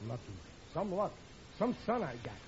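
A blustery, put-upon working-class American dad in a vintage radio comedy. His high-pitched voice swings wildly as he grumbles and exclaims. The old recording is muffled and noisy, with an audience behind him. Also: big pitch swings, normal speed. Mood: sarcastic, grumbling. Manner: exclaiming, grumbling, colloquial bad grammar. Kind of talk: 1940s radio sitcom acting.

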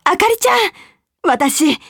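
A woman's voice: very high-pitched, big pitch swings, measured pace, gravelly, exaggerated voice. An actress playing a senior female office colleague in a radio drama. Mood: coy, bright. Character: senior female office colleague announcing her new romance. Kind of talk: Japanese radio drama acting.

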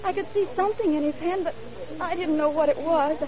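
An actress playing a shaken hospital patient in 1930s radio style, halting and stammering over her words. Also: very high voice, crowd noise. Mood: shaken, frightened. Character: shaken female hospital patient recounting an attack. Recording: dull old radio recording, murmuring background.

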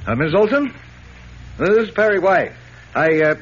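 An actor playing an elderly, despairing newspaper editor in a 1940s radio serial, stammering and sputtering in agitation. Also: male voice, sits high, expressive, normal speed, husky. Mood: flustered. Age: older. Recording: muffled, noisy old radio recording.

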